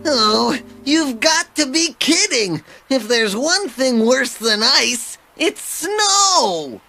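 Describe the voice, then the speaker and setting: An actor voicing a sarcastic, reluctant, grumbling male bear in a children's cartoon, with a gravelly voice. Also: high-pitched, lively intonation, drawn-out words, heavily acted. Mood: grumpy. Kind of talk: animated children's cartoon acting. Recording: cartoon soundtrack with loud music.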